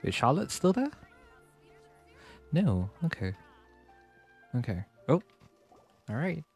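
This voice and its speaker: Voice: mid-range voice, sing-song, takes it slowly, a little mellow, breathy edge. A streamer giving casual commentary over a video game, with game music behind.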